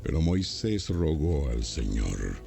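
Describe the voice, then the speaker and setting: A man's voice: rumbling low, sing-song, slow pace, creaky, over music. A man narrating a scripted, dramatized Bible audio.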